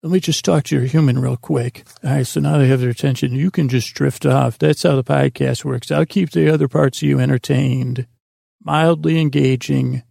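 A man with a gravelly, creaky voice hosting a bedtime podcast in a meandering, halting monologue that trails off mid-thought. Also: mid-range voice, steady tone, warm tone, dry recording, studio quality. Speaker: podcast host.

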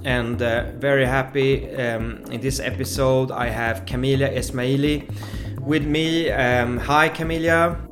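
A man of middle pitch hosts a podcast and interviews a guest. He speaks in a halting way, with many fillers and pauses. Faint music plays behind him. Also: steady tone. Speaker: podcast host.

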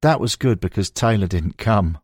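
Low-pitched man narrating, dry close sound. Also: expressive, normal speed, darkish tone, no room sound. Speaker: audiobook narrator. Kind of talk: scripted narration of a football biography with quoted recollections.

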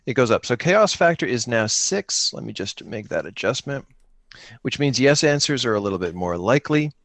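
A man's voice: mid-range voice, big pitch swings, moderate pace, a bit bright, no room sound. A man co-running an improvised tabletop game, narrating casually and thinking aloud in a joking way. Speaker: co-player running a solo-engine tabletop game.

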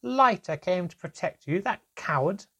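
Man acting a loud, scornful goblin father. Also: a little high, lively intonation, normal speed, creaky, husky, voice pushed up, full character voice. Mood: mocking, scornful. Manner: mocking, loud, forceful. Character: cruel, scornful, roaring goblin father, prone to mocking. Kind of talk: audiobook character voice.

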